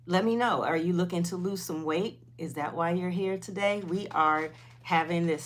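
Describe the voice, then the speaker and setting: Slow-talking woman, lowish voice. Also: a little hiss or hum. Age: 50s. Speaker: host of a weight loss livestream. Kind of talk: casual livestream chat, replying to viewers.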